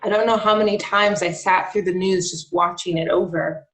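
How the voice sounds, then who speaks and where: A woman's voice: fairly low pitch, fairly even intonation, normal speed, a bit bright, a little hiss or hum, natural voice. A woman playing an alarmed local resident in a documentary play reading, telling her story conversationally. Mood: dazed. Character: alarmed local woman recalling a frightening morning. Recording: echoey room over video call.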